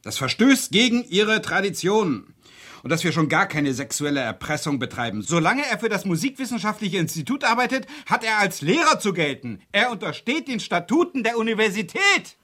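Radio drama: a wry guest lecturer, husky, very lively intonation, hesitant, ironic. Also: male voice, sits high, brisk, full character voice. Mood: mock official. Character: wry Swedish guest lecturer, helpful, slightly flustered. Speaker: university lecturer. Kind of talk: naturalistic radio drama dialogue.

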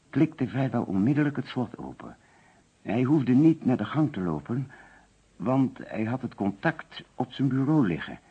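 A man's voice: on the low side, husky, full character voice. An actor playing an anxious, evasive male insurance agent under suspicion in an old Dutch radio detective play, explaining himself hesitantly. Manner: hesitant, explanatory, protesting when pressed. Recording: dull lo-fi old radio recording.

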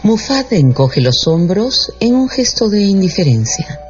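A man's voice: sits high, sing-song, measured pace. A man delivering scripted, dramatic narration for a radio drama. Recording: dull radio production with music underneath.

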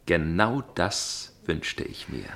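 A gentleman storyteller in a radio play, his voice on the low side. He is formal and measured, with a probing, suspicious edge, as if quietly investigating over dinner. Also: big pitch swings, vocal fry, exaggerated voice. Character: inquisitive, suspicious gentleman narrator, a salon dinner guest. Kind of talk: German radio play acting and narration.

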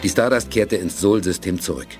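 A man narrates a science fiction radio play in an even, storytelling manner with a very deep voice. Faint music plays beneath his narration. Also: brisk.

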